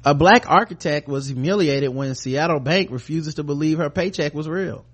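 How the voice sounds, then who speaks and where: A man's voice: on the high side, normal speed. An American man hosting a podcast, giving casual, comedic news commentary in an irreverent, sarcastic, sweary way. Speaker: podcast host.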